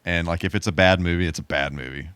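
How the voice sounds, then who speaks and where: A man's voice: low voice, fairly even intonation, fast talker, fairly bright tone, clean tone, no room sound. A man co-hosting a podcast in casual, slightly hesitant and rambling conversation. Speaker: podcast co-host.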